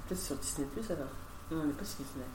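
Podcast host, young female, deep for a woman, chatty and colloquial; mic set back in a reverberant room. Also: fast talker. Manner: informal, chatty, slangy. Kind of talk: casual sibling chat. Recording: roomy, distant mic, noisy background.

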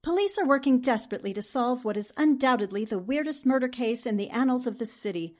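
A woman playing a high-voiced, fearless newspaperwoman. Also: expressive, fast talker. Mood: businesslike. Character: brisk, fearless female newspaper crime columnist. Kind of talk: 1940s radio drama acting.

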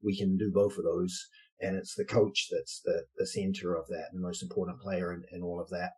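A man's voice: sits low, moderate pace, darkish tone, husky. A man from New Zealand with a New Zealand accent, a basketball coach and social entrepreneur explaining his work in a podcast interview, thoughtful with many fillers.

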